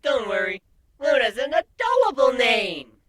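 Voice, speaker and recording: A man's voice: very high voice, big pitch swings, a little slow, a crowd in the background, pitched above the performer's own voice, full character voice. A man voicing a gleeful, taunting insectoid pony in a dramatic story reading.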